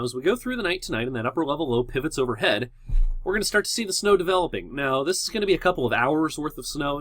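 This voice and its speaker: A hoarse man fighting a cold delivers an informal weather forecast. He talks in an easygoing, unscripted way, stopping often to restart, in a middle-pitched voice. The sound is dry and close, with no room echo. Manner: relaxed, unscripted, frequent "uh" fillers and restarts. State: hoarse from a cold and sore throat. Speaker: TV meteorologist. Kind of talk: casual weather forecast video.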